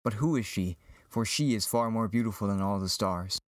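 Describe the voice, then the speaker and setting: A man plays an earnest, lovestruck young hero from a farm. He declaims verse in a lowish voice, recorded close and dry, like a Shakespearean radio play. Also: normal speed, no room sound, lightly acted. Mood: earnest, wondering. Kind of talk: amateur Shakespearean dramatic reading.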